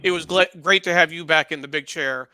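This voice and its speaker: A man co-hosting a casual live show, talking in a halting, wordy and appreciative way. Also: fairly high pitch, sharp tone, slight background noise, rough recording. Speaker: co-host.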